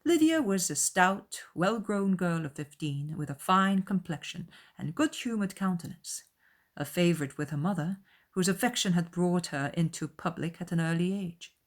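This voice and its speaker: Female audiobook narrator, literary scripted read, moderately low register. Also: expressive, moderate pace.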